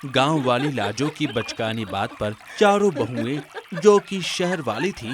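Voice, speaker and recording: A man reading scripted narration for an animated family story as its storyteller. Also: medium pitch, a little slow, crowd noise.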